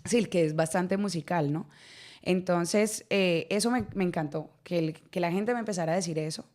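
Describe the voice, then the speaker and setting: Lower-voiced Colombian woman, lively storyteller. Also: normal speed. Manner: lively storytelling, laughs often, repeats words. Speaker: actress guest. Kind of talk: radio interview conversation. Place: radio studio.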